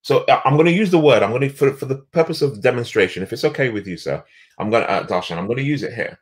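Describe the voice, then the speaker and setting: A middle-aged man with a deep, resonant voice, talking emphatically as a guest on a video call from a home office. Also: fast talker. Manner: animated, emphatic storyteller. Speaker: guest, leadership coach.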